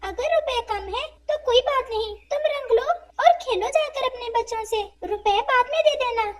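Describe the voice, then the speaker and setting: Woman as a squeaky-high generous parrot shopkeeper. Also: moderate pace. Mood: kindly.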